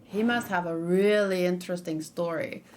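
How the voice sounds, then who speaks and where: A woman's voice: on the low side, steady tone, moderate pace, slight background noise. Rambling woman reacting to a trailer. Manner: rambling, self-correcting, with frequent ums. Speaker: streamer reacting to a game trailer. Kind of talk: casual reaction commentary. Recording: faint background music.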